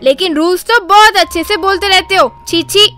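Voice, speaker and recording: A woman's voice: very high-pitched, normal speed, pushed and bright, faint music behind, voice pushed up. A woman voicing a nagging, money-minded village wife in a cartoon story, with an exclaiming delivery. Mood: scornful. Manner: scolding, exclaiming.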